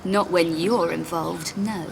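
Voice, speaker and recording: A woman's voice: on the low side, steady tone, takes it slowly, vocal fry, background noise. An actress playing a cool, sardonic young British female adventurer, dry and self-assured, in a video game. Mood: cool, mocking. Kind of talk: video game cutscene acting.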